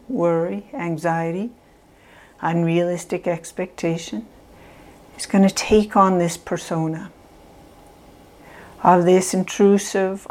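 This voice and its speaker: Woman, calm meditation guide, low-ish voice, unhurried measured delivery. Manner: calm, measured, soft phrasing with long pauses. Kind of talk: slow guided visualization.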